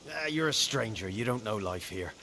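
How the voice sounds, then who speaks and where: A man's voice: fairly low pitch, expressive, moderate pace, noisy background. An actor playing a wary, hardened village headman in a video game, speaking grimly in rustic dialect. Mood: grim resigned. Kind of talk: video game cutscene acting.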